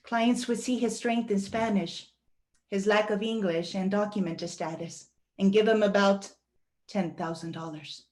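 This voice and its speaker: A woman reading a first-person monologue aloud as a guest reader, with a deliberate, earnest storytelling delivery. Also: medium pitch, fairly even intonation, darkish tone.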